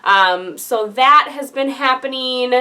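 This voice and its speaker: Young woman, casual vlogger, bright, chatty, filler-heavy, slightly stuffy nose. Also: high-pitched, slow, clean tone. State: congested. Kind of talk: casual vlog update.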